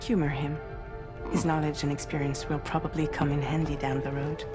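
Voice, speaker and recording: An actress playing a calm mission support officer in a video game, measured and businesslike. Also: female voice, low voice, lightly acted. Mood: calm, instructive. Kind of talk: video game cutscene acting. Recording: very echoey, loud music over speech.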